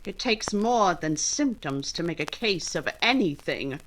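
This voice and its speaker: A woman acting a witty, independent young gentlewoman in a 1940s-style radio drama, poised and emphatic. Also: on the low side, lively intonation, a little slow, background noise. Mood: exasperated.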